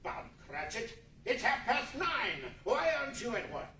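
A man's voice: extremely high pitch, sing-song, unhurried, bright, forceful tone, full character voice. A man acting a reformed old miser in a 1940s-style radio play, effusive, generous and repentant in a theatrical manner. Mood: mock stern. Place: large indoor meeting room, live stage reading. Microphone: far camera. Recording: echoey meeting room from far off, noisy.

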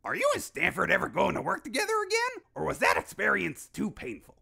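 Nutty old hillbilly inventor for a cartoon, super gravelly, sharp n excitable. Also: male voice, sits high, a little fast, full character voice. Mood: candid, defensive. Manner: folksy, erratic. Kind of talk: cartoon character acting.

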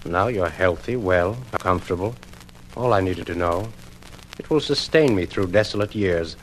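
A man's voice: low voice, moderate pace, natural voice. An actor playing an earnest, lovesick, meek man with flowery, theatrical speech in a 1950s radio drama. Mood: sorrowful. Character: florid, lovesick stranger, meek and pleading. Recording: noisy vintage 1950s radio recording.

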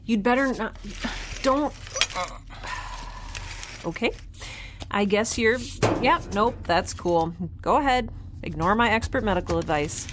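An actress playing a flustered, sarcastic young woman scientist in a science fiction audio drama, with a halting, rambling, wry delivery. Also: medium pitch, lively intonation, noisy background, lightly acted. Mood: exasperated, sarcastic. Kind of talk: naturalistic audio drama dialogue.

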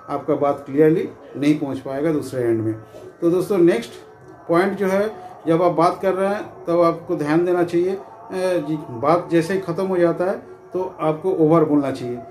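Higher-pitched middle-aged man explaining, echoey room. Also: darkish tone. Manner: repetitive, explanatory, with frequent filler phrases. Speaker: presenter of security training videos. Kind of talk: informal instructional talk to camera. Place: small indoor room with curtains. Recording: echoey room with faint background noise.

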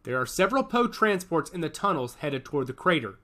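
A man voicing a grave older male rebel leader, measured and solemn. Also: on the high side, very animated, pushed and bright.

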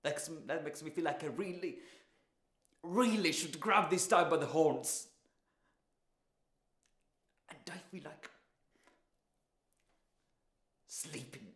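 An actor playing a despairing, insecure man in his thirties in a confessional, halting dramatic monologue. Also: a little high, a bit bright. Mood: strained, deflated. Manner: confessional, halting, deliberate pauses. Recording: roomy, echoing sound.

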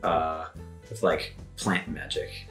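A man playing a tabletop game, casually explaining his character's ideas in a halting, think-aloud way. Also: extremely low pitch, fairly even intonation, a bit bright, faint music behind. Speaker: player in a tabletop game podcast. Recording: roomy echo.

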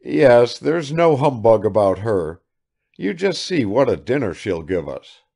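A man's voice: medium pitch, sing-song, measured pace, gravelly, warm tone, breathy edge, voice pushed up, full character voice. A man reading aloud and voicing a blunt, nonchalant young man with a curt, dismissive manner.

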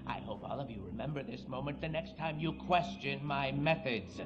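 A man's voice: medium pitch, normal speed, muffled recording, lightly acted. Villainous director for a game cutscene, male actor: arrogant, icy, condescending, medium register. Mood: haughty. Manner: pompous, disdainful. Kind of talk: video game cutscene acting. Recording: loud music over voice. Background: music.

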